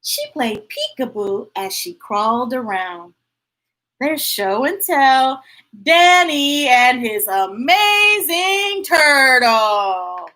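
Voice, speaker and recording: Female children's read-aloud host, high-pitched and very animated, unhurried, talking straight to young listeners. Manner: playful, breaking into sing-song and sound effects. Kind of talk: animated picture-book read-aloud with chatty asides.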